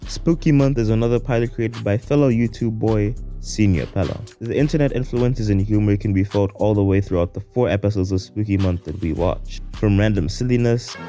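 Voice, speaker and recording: Male video essayist, low and mellow, casual slangy scripted narration. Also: a little fast, hardly any pauses. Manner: informal, slangy. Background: faint music.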